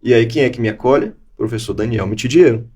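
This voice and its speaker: A man's voice: mid-range voice, fast, dark tone, breathy edge. A Brazilian man from Bahia, in his forties, recounting his career in a conversational interview, with an accent mixing Bahian and Gaúcho speech and frequent restarts. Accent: mixed Bahian and Gaúcho Brazilian Portuguese. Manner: reflective storytelling with frequent restarts. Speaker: interview guest, law professor.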